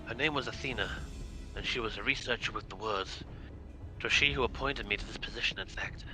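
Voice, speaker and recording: A man reading the part of an earnest, dutiful warder from a fantasy video game, in formal, measured speech. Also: fairly low pitch, music in the background, lightly acted, poor audio. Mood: reflective. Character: dutiful, earnest male warder of a magical prison. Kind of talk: live read of video game dialogue.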